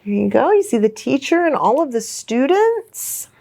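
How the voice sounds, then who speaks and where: A woman hosting a children's story time, reading a picture book in an expressive, sing-song way and speaking to young children. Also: sits high, moderate pace, creaky. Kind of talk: animated children's picture-book read-aloud.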